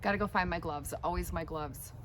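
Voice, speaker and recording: A hoarse woman who has partly lost her voice, chatting loosely in a garden vlog with lots of fillers. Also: on the low side, brisk, background noise. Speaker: gardening vlogger. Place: backyard garden, outdoors.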